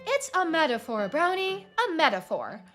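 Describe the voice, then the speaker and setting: A woman's voice: high-pitched, sing-song, moderate pace, fairly bright tone, faint music behind, pitched above the performer's own voice. A woman voicing a brash, wisecracking male alpaca in a video game, with insistent tough-guy talk. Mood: exasperated.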